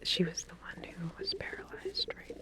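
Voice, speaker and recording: A woman doing an ASMR roleplay as a lab researcher, explaining things softly and hesitantly in a reassuring clinical manner. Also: on the low side, big pitch swings, normal speed. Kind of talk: whispered ASMR medical roleplay.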